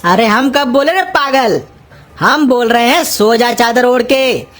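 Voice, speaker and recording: Cranky old cartoon uncle, played by a woman: high-pitched, exasperated scolding. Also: a little slow, soft background music, natural voice. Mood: irritated scolding. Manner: gruff, insulting, exasperated scolding. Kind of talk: cartoon comedy dialogue in rustic Hindi.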